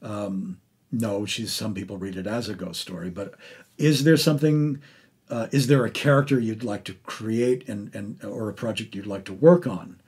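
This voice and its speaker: Halting, husky middle-aged man. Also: on the low side, a little mellow. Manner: rambling, halting, effusive. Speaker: podcast host interviewing an actor. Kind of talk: casual, enthusiastic arts conversation.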